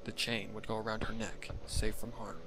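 A man's voice: on the low side, level tone, moderate pace, bright, forceful tone, breathy edge. A man reading scripted fan fiction as the story's narrator.

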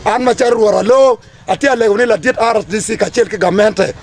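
High-pitched, emphatic Ugandan man. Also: fast, creaky. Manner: emphatic, declamatory, repetitive phrasing. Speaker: community team leader. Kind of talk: impassioned public address to camera. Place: outdoors under trees among a gathering.

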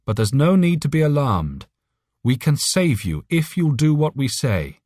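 A man's voice: mid-range voice, big pitch swings, measured pace, darkish tone, no echo, studio quality, pitched above the performer's own voice. A man voicing an alien telepathic voice for an audiobook, urgent, friendly and earnest, with careful phrasing. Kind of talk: audiobook character voice.

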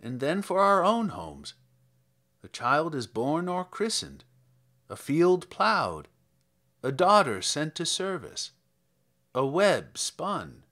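A man voicing an old, reflective country priest in a dramatic verse reading, telling tales in a measured, plainspoken way. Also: fairly high pitch, wildly swooping pitch, bright, forceful tone, exaggerated voice. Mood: matter-of-fact.

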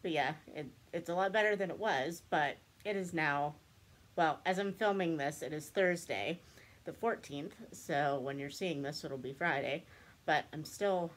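A woman's voice: deep voice, a little slow. A young woman chatting casually to camera in a small room, stuffed up with a cold, rambling and laughing often. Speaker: book and craft vlogger. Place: small room indoors, seated before a cabinet.

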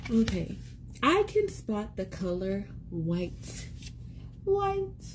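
A woman in her thirties reading a picture book aloud to young children in an expressive, sing-song, encouraging way, repeating words for them. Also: mid-range voice, drawn-out words, slight background noise. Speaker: children's read-aloud host and teacher. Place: small indoor room at home. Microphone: phone or tablet at arm's length.

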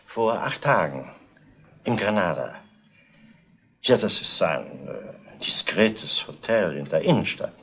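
Flustered consul, swooping intonation. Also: male voice, sits low, sing-song, slow pace, long pauses, fairly bright tone, breathy edge, full character voice. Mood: embarrassed. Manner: hesitant, embarrassed, polite. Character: flustered, well-to-do philandering consul. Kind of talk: 1970s German radio play acting.

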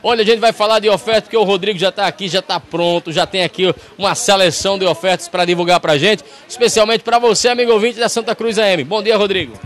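Northeast brazilian radio guy, high voice, chatty and upbeat, live from a pharmacy on a handheld mic. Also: quick pace, few pauses, a bit bright. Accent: Northeast Brazilian Portuguese. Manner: upbeat, chatty, addresses listeners directly. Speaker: radio reporter. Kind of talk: live radio field report. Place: large bright pharmacy, indoors. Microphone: handheld station mic.

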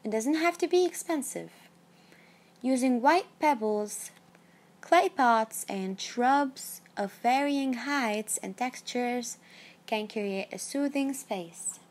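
Slow, higher-voiced woman narrating a list. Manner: measured, even read-aloud delivery. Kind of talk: scripted narration of a numbered list.

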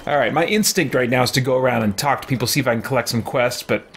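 A man hosts a casual gameplay stream from a small room. His voice sits at a medium pitch as he muses aloud about what to do. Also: fast, a bit bright, breathy edge. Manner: thinking aloud, musing. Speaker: streamer, gaming host. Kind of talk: casual live gameplay commentary. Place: small indoor room with shelves.

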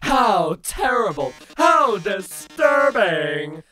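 A man's voice: sits high, big pitch swings, drawn-out words, sharp tone, soft background music, full character voice. An actor voicing a flamboyant, vain male robot TV star with a theatrical showbiz announcer manner.

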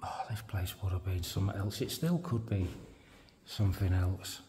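A British man giving casual, low-key running commentary, musing aloud as he explores. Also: normal speed. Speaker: urban explorer and vlogger. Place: inside a large derelict building, walking. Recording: faint background noise, indoor ambience.

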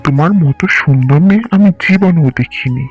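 A man voicing a gentle young prince trapped in a tree in a cartoon, earnest and pleading. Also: on the high side, brisk, faint music behind, lightly acted. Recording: dull lo-fi cartoon audio.

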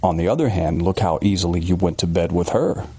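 A man playing a detached psychiatrist who probes in a measured manner, as an audiobook character. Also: low-pitched, mellow, breathy edge, no echo. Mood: cool, probing. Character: Harper, cool, probing male psychiatrist listening to a patient.

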